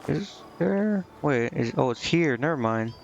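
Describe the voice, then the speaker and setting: A man's voice: fairly high pitch, animated, measured pace, creaky, slight background noise. Hesitant man streaming a game, slightly dull mic. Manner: hesitant, rambling, muttering to himself. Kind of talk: casual gameplay commentary, reading game dialogue aloud.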